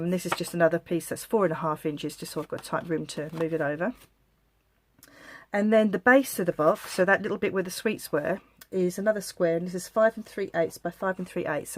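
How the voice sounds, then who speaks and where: A woman's voice: fairly low pitch, darkish tone. A woman with a slight head cold, giving a chatty, rambling step-by-step craft demonstration and often correcting herself. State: has a head cold coming on. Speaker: craft tutorial host.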